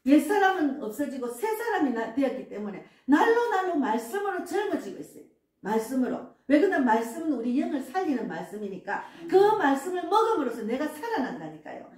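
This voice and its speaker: A woman's voice: high-pitched, sing-song, a little fast, darkish tone. A woman in her sixties, a pastor, preaching a sermon earnestly and emphatically, with frequent affirming asides. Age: 60s. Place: church sanctuary. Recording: echoey church room, distant mic, faint music.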